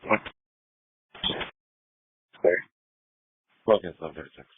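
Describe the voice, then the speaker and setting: A man's voice: low-pitched, slow pace, breathy edge. A man giving clipped, businesslike police radio dispatches over a tinny scanner.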